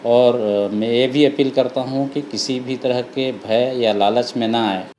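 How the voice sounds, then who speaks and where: A man speaking as an official in a news statement, formal in wording and halting in delivery. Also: medium pitch, steady tone, a little mellow, soft background music. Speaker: official making a public appeal. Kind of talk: interview statement to news media. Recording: faint ambient noise.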